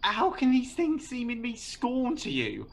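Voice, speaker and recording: A man as a husky, earnest young lover. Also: very high-pitched, wildly swooping pitch, a little slow, full character voice. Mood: pleading. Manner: earnest, pleading. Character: earnest young male lover, pleading suitor. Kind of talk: Shakespearean radio play verse.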